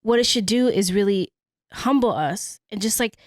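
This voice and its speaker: A woman's voice: medium pitch, expressive, moderate pace, dry recording, studio quality. A young adult woman from San Francisco, first language Cebuano, co-hosting a podcast in thoughtful, easygoing casual chat. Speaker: podcast co-host.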